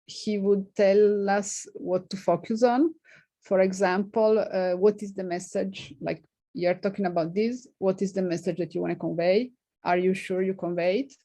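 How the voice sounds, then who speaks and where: A middle-aged woman physicist with a non-native English accent, answering questions in a halting way full of fillers and light laughs. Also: mid-range voice, dark tone. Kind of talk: informal seminar Q&A.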